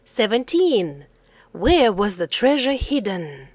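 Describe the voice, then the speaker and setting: A woman presenting a quiz for young children, asking questions and answering them in a deliberate, carefully enunciated, teacherly manner. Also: mid-range voice, very animated, no room sound.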